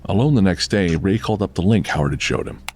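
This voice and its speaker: A man's voice: low voice, animated, fast, a little mellow. A man reading scripted narration as the literary narrator of a bleak horror audio drama. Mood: somber.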